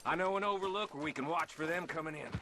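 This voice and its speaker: A man's voice: on the high side, quick pace, noisy background, lightly acted. A man playing a smug, taunting outlaw. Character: cocky, needling male outlaw, reckless troublemaker. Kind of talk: video game cutscene acting. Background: loud game score.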